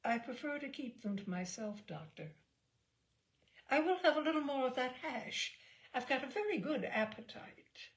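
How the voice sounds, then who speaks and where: A woman reading the part of a prim, coolly polite spinster passenger in a measured, genteel manner. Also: high-pitched, expressive, voice pushed up. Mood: reserved, cool.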